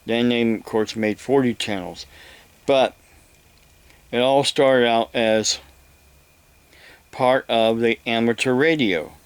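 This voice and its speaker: A man giving a rambling, casual explanation to viewers, halting and repeating himself as he goes. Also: mid-range voice, steady tone, clean tone, dry recording. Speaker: vlogger.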